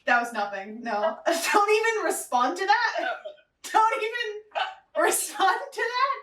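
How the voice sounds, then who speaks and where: Giggly woman kidding around on set, slow and swoopy voice, kinda far from mic. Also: very high-pitched, animated, fairly bright tone. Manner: joking, giggly. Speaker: director of an amateur web series. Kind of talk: casual behind-the-scenes banter. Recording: echoey, distant microphone.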